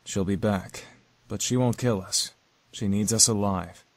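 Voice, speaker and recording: An actor playing a protective young male demon in a visual novel game, calm and reassuring. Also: on the low side, unhurried. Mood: calm, serious.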